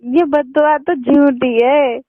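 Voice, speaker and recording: A woman's voice: sits high, steady tone, slow, no room sound. A woman in an emotional phone conversation, insistent, defiant and pleading. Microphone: telephone. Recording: muffled lo-fi phone call recording.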